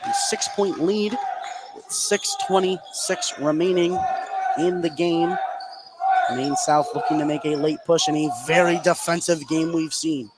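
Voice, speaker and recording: High-pitched male basketball play-by-play over gym noise. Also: unhurried, over a noisy crowd. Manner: excitable on big plays, narrating continuously. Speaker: play-by-play commentator. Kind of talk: live high school basketball commentary. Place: indoor school gym, courtside. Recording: noisy live gymnasium ambience.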